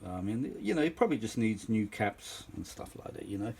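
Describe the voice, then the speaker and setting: A man's voice: on the low side, sing-song, a little fast, darkish tone, breathy edge. A middle-aged or older man, chatting unscripted as a vintage audio enthusiast showing a machine, rambling, exclaiming and repeating himself for emphasis. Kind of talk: casual unscripted show-and-tell chat.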